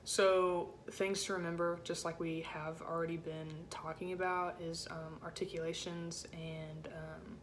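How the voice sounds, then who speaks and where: A woman with a low-set voice giving an informal music rehearsal lesson as a choir section leader, in a casual, encouraging way with hesitant phrasing. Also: flat delivery, measured pace, hardly any pauses, slight background noise. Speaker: choir section leader teaching alto parts.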